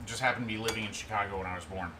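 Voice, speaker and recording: A man in his thirties hosting a livestream in a relaxed, rambling way, chatting and reading out viewer comments. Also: fairly low pitch, rapid-fire. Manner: relaxed, rambling, answers chat as he goes. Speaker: livestream host. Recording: steady background noise.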